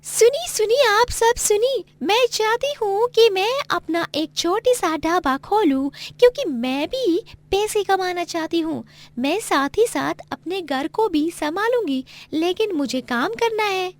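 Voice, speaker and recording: A woman's voice: very high-pitched, lively intonation, unhurried, no room sound, voice pushed up. A woman voicing a perky young Chinese daughter-in-law who runs a food stall in a story cartoon, sing-song and dropping English phrases. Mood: eager, pleading. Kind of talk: moral story cartoon voice acting.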